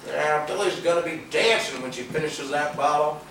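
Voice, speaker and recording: An actor playing a cocky, crude wisecracker in a stage play, with a mocking, needling manner. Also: male voice, a little high, normal speed, natural voice. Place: theater stage, far microphone. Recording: echoey, distant, noisy videotaped stage.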